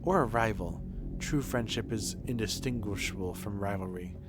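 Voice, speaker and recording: A man voicing a cryptic, commanding young mystic mistress from a video game, hushed and solemn. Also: on the low side, normal speed, loud music behind the voice, voice pushed down, lightly acted. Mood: hushed, brooding.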